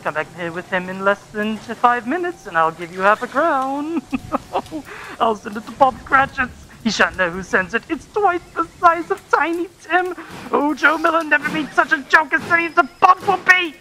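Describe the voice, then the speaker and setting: A man plays an old, reformed miser in a hobby radio drama. The voice is bright and sharp, with a theatrical delivery. He is giddy and generous, even when putting on sternness. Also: very high-pitched, normal speed, heavily acted, poor audio. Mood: giddy delight. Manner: theatrical, chuckling, switching between mock stern and gleeful. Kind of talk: hobby radio play acting.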